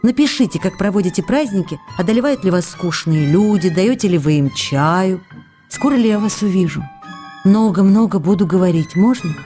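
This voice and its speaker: A young actress pours out teasing, pleading letters to the writer she loves, as an actress voices her in a radio play. Her tone is mellow and warm, coaxing one moment and reproachful the next, and faint music plays underneath. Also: medium pitch, expressive, normal speed, lightly acted. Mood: playful. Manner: playful, coaxing, then reproachful. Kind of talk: radio drama letter reading.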